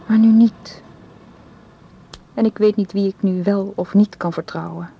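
A woman's voice: medium pitch, steady tone, a little slow, vocal fry, highs cut off, slight background noise. An actress in a Dutch radio play, playing an anxious, guarded woman who speaks hesitantly and earnestly. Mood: troubled, hesitant. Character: anxious, guarded woman distrustful of her male suitor.

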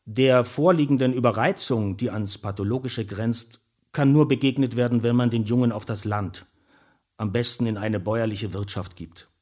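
Actor doing a stern male doctor in a radio play, reads out reports all dry and official, medium pitch. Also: normal speed, darkish tone, natural voice. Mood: clinical. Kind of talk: scripted radio play, letter reading.

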